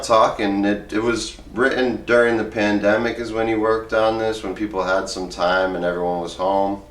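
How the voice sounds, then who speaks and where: Echoey, low-voiced man reading aloud. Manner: informal, plain-spoken, reads both sides of interviews in own voice. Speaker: book reader, presenter. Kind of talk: casual read-aloud of book excerpts. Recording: roomy, echoey, distant microphone.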